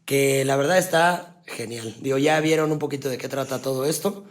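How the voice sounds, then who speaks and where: A man with a Mexican accent hosting a podcast interview, laid-back and slangy, quick to agree and chime in. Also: mid-range voice. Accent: Mexican Spanish. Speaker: podcast host.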